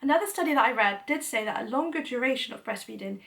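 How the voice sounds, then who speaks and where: A woman's voice: fairly high pitch, lively intonation, fast, gravelly, fairly bright tone, far from the mic. A young woman with a cold and a blocked-up nose, chatting enthusiastically to camera as a parenting vlogger, with lots of "you know". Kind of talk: casual explanatory talk to camera.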